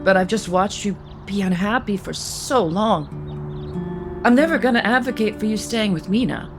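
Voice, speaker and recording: A woman's voice: mid-range voice, moderate pace, over music, natural voice. An actress playing a protective, meddling, middle-aged mother of a grown son in a naturalistic audio soap opera. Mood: concerned, insistent.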